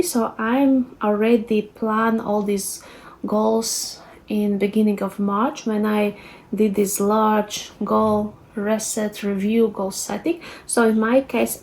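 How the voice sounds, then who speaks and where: A woman in her thirties with a Latvian accent, speaking English as a second language. She calmly walks through her planning notes, pausing often with fillers. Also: medium pitch, rough recording. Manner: soft-spoken, unhurried, many fillers and halting restarts. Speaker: lifestyle vlogger. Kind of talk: reflective planning walkthrough.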